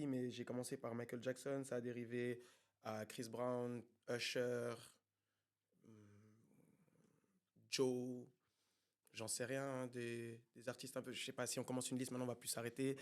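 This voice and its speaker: A man from Congo, a singer who is a podcast guest, chatting in a relaxed, joking, rambling way. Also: medium pitch. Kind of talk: casual podcast chat.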